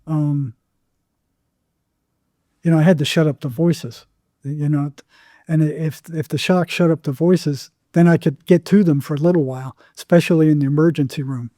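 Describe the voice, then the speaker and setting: Older man, very dark mellow voice. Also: fairly high pitch, fairly even intonation, no echo, studio quality. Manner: halting, repeats words, acts out remembered exchanges. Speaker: psychotherapist guest. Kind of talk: conversational storytelling in an interview.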